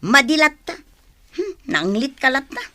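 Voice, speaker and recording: A practical family provider in a radio drama. Her voice is high and bright. She nags with care, chiding and insisting. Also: very animated, measured pace, faint music behind, heavily acted. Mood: coaxing. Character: practical, nagging, caring woman, the family breadwinner. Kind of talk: Ilocano radio drama acting.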